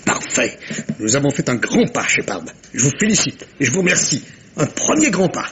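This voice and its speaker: An actor playing a shrewd, courteous, theatrical Belgian detective in a 1950s-style French radio mystery, with suave, teasing phrasing and a husky voice. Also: male voice, mid-range voice, big pitch swings, normal speed, noisy background, close to an everyday voice. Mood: intrigued, pleased.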